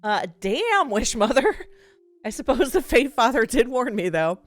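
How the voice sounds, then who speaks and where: A woman's voice: fairly high pitch, sing-song, unhurried, soft background music. A woman game streamer reading a visual novel aloud and reacting expressively in a live let's play.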